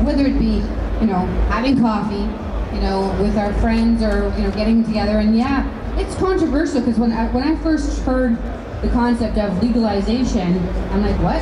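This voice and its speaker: A woman in her fifties speaks slightly high into a handheld mic at an expo panel. She rambles casually, full of fillers and self-corrections. The sound carries through a large reverberant hall with steady background noise. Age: 50s. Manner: rambling, many fillers and restarts. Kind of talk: informal talk at an expo panel. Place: large dark hall with a stage and screen.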